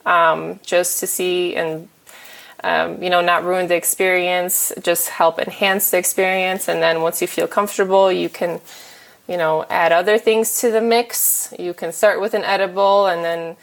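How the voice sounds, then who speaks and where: A woman chatting frankly and casually as a guest, pausing often with fillers and restarts. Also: fairly low pitch, little pitch movement, vocal fry. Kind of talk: casual chat.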